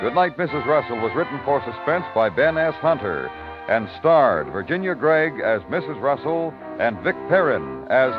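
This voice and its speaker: Old time american radio announcer guy, dramatic and formal, music behind, dull muffled recording. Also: medium pitch. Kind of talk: 1950s radio announcing, scripted. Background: orchestral music.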